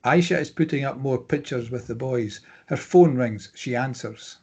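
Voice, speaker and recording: A man's voice: mid-range voice, moderate pace, darkish tone, husky. A man reading out screenplay stage directions as scripted narration.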